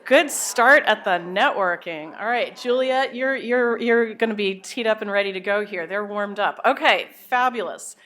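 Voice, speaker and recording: A loud-voiced woman in her fifties gives a conference talk from a podium. She speaks in a lively, conversational and self-aware way, asides and all. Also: medium pitch, normal speed, hardly any pauses. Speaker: keynote speaker, professor. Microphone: podium microphone.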